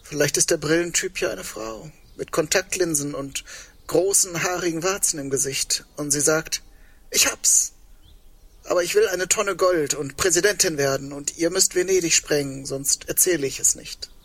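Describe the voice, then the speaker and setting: An actor playing a brooding, sardonic man in a radio drama, breathy and dryly questioning. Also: a little high, normal speed, fairly bright tone, full character voice. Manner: questioning, dry. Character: probing, brooding, sardonic man awaiting the end. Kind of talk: radio drama dialogue acting.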